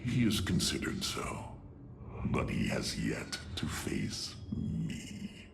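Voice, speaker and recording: A man playing an arrogant, ruthless alien warrior hungry for power in a video game, slow and theatrical, with a gravelly voice. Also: extremely low pitch, sing-song, very noisy, exaggerated voice. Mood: cold, instructive. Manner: slow, theatrical, imperious, sneering. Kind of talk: video game cinematic voice acting.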